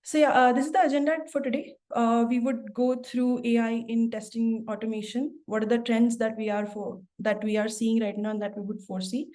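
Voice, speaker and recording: A woman's voice: fairly high pitch, level tone, fairly quick, hardly any pauses, mellow. A woman hosting a work webinar, talking with frequent fillers and restarts. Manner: hesitant, many fillers and restarts. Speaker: webinar host, product manager. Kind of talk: webinar moderation.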